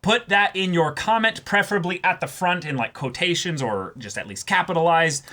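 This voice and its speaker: A man hosting an anime reaction show, talking casually and haltingly, repeating and rephrasing phrases for emphasis. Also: high voice, lively intonation, sharp tone.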